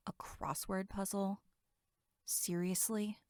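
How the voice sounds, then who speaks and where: A woman's voice: medium pitch, flat delivery, slow, a little mellow, dry recording, clean studio sound. A woman playing a brash, sarcastic young camp counselor in a horror audio drama, snarky and blunt. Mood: exasperated, snarky. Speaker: voice actor.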